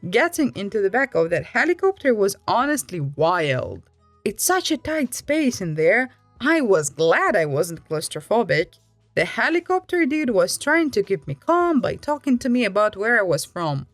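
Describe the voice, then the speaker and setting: A woman reading an online story aloud in an animated way, letting her pitch swoop up and down for emphasis. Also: moderate pace, no room sound, faint music behind. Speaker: story reader.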